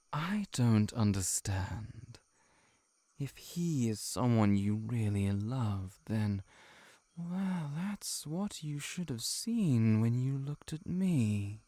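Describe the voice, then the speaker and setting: Man acting as slow-speaking, pleading lovelorn shapeshifter. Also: on the low side, warm tone, no echo. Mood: resigned, bewildered. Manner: hesitant, halting, emotionally pleading. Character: lovelorn shapeshifting monster posing as a friend, earnest and pleading. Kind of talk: intimate audio roleplay acting.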